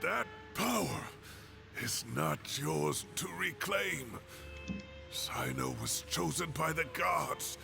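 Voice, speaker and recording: An actor playing a defiant, protective old professor in a video game, with an earnest, emphatic delivery. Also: male voice, fairly high pitch, big pitch swings, slow, gravelly, music in the background, full character voice. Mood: urgent, pleading. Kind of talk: dramatic video game voice acting.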